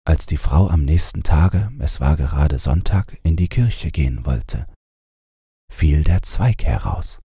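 A male storyteller reads a bedtime fairy tale softly and soothingly. His voice is low, dark and very breathy, and he takes his time. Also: lively intonation, no room sound, studio quality. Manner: gentle, soothing, measured. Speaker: audiobook narrator.

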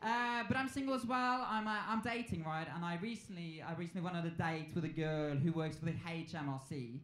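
A man's voice: fairly high pitch, a little fast, fairly bright tone. A man in his twenties with a Norwegian accent performing stand-up in a conversational way, playfully acting out exchanges. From Norway. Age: 20s. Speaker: stand-up comedian. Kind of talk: live stand-up comedy routine. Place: on stage in a comedy club. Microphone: handheld stage microphone. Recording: echoey live club sound with noise.

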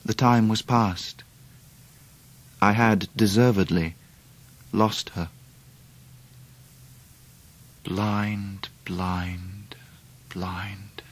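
A man's voice: on the low side, fairly even intonation, very slow, pauses often. An actor playing a reflective, melancholy grown man, narrating his own life in a radio drama. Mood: regretful. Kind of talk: dramatised first-person narration.

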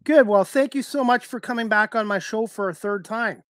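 Casting: male talk show host, middle-aged, notably high voice, dry close sound. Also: normal speed, a bit bright, no room sound. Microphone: headset on a video call.

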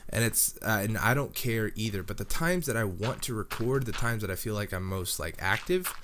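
A man's voice: on the low side, lively intonation, moderate pace. A young man with a head cold, his voice nasal and scratchy, chatting casually while playing a game. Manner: rambling, chatty, many fillers like "um" and "like". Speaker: Minecraft YouTuber. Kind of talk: casual commentary over gameplay. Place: home room, recording near a sleeping girlfriend. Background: background music.

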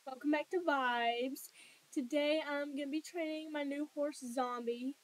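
A woman's voice: high-pitched, steady tone, unhurried, a little hiss or hum, low-quality recording. A woman hosting a video in a casual role-play vlog style, talking to her viewers. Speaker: video host.

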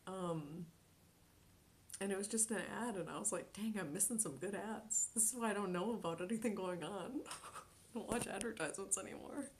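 A woman's voice: mid-range voice, normal speed, slight background noise. Giggly woman chatting on a live stream. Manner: chatty and giggly, drifts into soft near-whispers. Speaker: Twitch streamer. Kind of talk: casual live-stream chat, reading chat aloud. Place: small bedroom at home.

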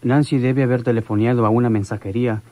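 A man's voice: medium pitch, steady tone, fast talker, mellow, close to an everyday voice. An actor playing a deferential henchman reporting to his boss in a radio drama. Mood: deferential, explaining.

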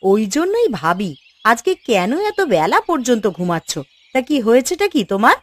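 A woman voicing a caring, gently scolding village housewife in a cartoon, coaxing and homely. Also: on the high side, very animated, moderate pace, dry recording. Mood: concerned scolding. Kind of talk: Bengali cartoon story dialogue.

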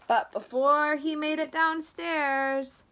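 A woman with a high, bright voice reads a storybook to little children. Her delivery is slow and very lively, like a preschool teacher, with expressive asides to explain things simply. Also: a little hiss or hum. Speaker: preschool teacher reading a picture book aloud. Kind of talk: animated read-aloud storytelling for small children.

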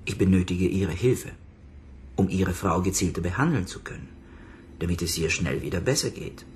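A psychotherapist in a radio crime drama. His voice sits very low, and he speaks with calm authority. He questions in formal, measured sentences and does not let go. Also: a little hiss or hum. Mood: probing, controlled. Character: calm, probing male psychotherapist, authoritative and persistent. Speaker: actor in a radio crime play. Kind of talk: German radio drama acting.

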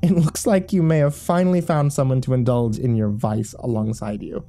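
A man's voice: mid-range voice, steady tone, normal speed, mellow, natural voice. Man voicing a poised, polite woman, music behind. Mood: polite, amused. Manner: polite, composed. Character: slim, poised, polite married woman with mysterious air. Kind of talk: audio story character voice.

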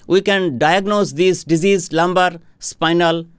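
A middle-aged man from India, a homeopathic doctor, explaining a health topic to camera in a formal, deliberate way, speaking into a studio microphone. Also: high voice, steady tone, clean tone, no echo. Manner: slow, deliberate, formal explaining with hand gestures. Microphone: studio microphone on a boom arm in front of him.

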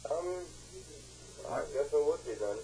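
Laid-back man with a mellow voice. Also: a little high, animated, measured pace. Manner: laid-back, unbothered, hesitant. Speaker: phone call recipient. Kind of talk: casual phone chat. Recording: faintly noisy, humming phone call over speaker.

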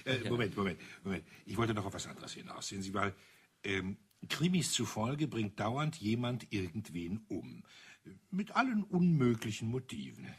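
An actor playing a retired army colonel in a radio crime play, an older man with a husky, raspy voice and a blunt, musing manner. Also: fairly low pitch, very animated, normal speed, exaggerated voice. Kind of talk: 1980s German radio crime play acting.

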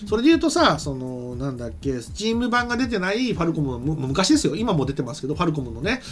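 Animated, fast-talking man explaining things. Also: on the high side. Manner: conversational, explanatory, trailing phrases with frequent agreement-seeking. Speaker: talk show host and game writer. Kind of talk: casual commentary and explanation. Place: small streaming studio. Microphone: desk microphone.

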